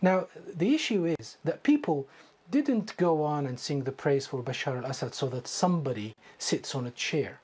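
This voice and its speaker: A middle-aged man from Syria with a Syrian Arabic accent and a husky voice, speaking as a guest in a televised political debate. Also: fairly high pitch, lively intonation, normal speed, darkish tone. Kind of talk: political debate on a TV talk show.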